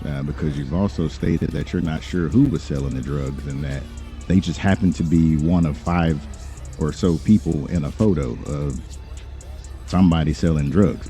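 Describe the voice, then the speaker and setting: A man role-playing as a lawyer in a game, speaking in a measured, formal, halting way. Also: rumbling low, mellow. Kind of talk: improvised in-character role-play talk.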